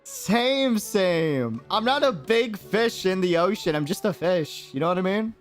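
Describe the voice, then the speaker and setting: An American man chats loosely on a game livestream over soft music. His voice sits fairly high and swoops up and down a lot, and his delivery is slangy and rambling. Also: expressive, unhurried. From New York City, United States. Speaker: game streamer. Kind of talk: casual livestream chat and reactions.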